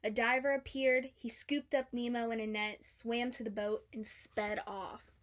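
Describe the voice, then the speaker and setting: A woman's voice: fairly high pitch, little pitch movement, a little slow. A woman reading a children's storybook aloud as a narrator. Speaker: storybook reader.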